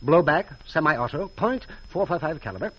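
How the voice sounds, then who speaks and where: An actor playing a pompous, wealthy and eccentric yacht skipper with formal, genteel phrasing in a 1940s radio drama. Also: male voice, on the high side, animated, moderate pace, slightly rough. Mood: genial, fussy. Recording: muffled old radio broadcast.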